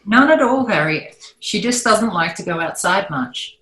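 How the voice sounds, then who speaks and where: A woman's voice: mid-range voice, expressive, a little slow, pushed and bright. A woman playing a wry, teasing suburban mom in an amateur sitcom table read. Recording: echoey room.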